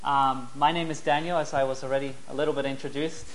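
A man in his twenties, an evangelist from New Zealand, preaching in a church in short friendly phrases that pause for an interpreter. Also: mid-range voice, steady tone, rough recording. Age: 20s. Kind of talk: sermon given through an interpreter.

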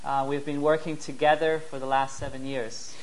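A man in his twenties, an evangelist from New Zealand, preaching in a church in short friendly phrases that pause for an interpreter. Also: mid-range voice, little pitch movement, low-quality recording. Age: 20s. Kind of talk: sermon given through an interpreter.

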